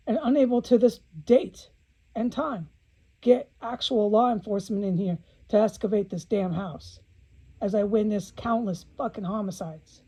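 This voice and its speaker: A woman's voice: medium pitch, mellow, breathy edge, low-quality recording. A woman speaking in an informal video monologue, blunt and agitated, swearing often and repeating phrases for emphasis, with an aggrieved manner.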